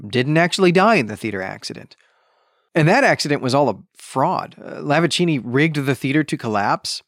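A man's voice: mid-range voice, no echo, clean studio sound. A man hosting a podcast, explaining a book in a conversational way and checking in with the listener as he goes. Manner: conversational explaining, tags points with "right?". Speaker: podcast host. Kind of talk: book discussion podcast, explanatory plot summary.

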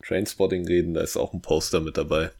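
A young man with a low-pitched voice talks as a podcast co-host. His delivery is casual and conversational, with a playful, tongue-in-cheek manner. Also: level tone, fast talker, gravelly, a little mellow.

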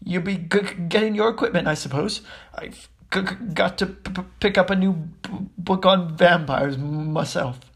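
A storyteller voicing a nervous, timid young male professor with a heavy, halting stutter. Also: mid-range voice, natural voice. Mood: nervous, fearful.

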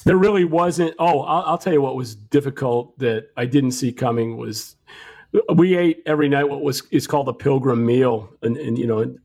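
A man's voice: mid-range voice, moderate pace, creaky, warm tone. A man co-hosting a casual podcast from home, talking in a rambling way with frequent restarts and fillers. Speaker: podcast co-host.

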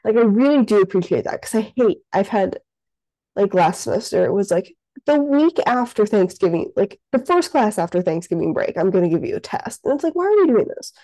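A young woman co-hosting a podcast while sick, her voice congested and stuffed up. Her tone is mellow and soft-edged, recorded close in a dry room. She rambles in a casual chatty way, leaning on "like" constantly. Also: medium pitch, sing-song, fairly quick, no echo, low-quality recording. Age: young adult. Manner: chatty, lots of "like" fillers. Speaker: podcast co-host. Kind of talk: casual chat.

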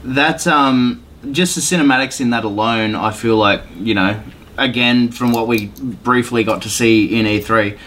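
A man's voice: medium pitch, moderate pace, fairly bright tone, slight background noise. A man talking casually as a podcast co-host. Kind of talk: casual chat.

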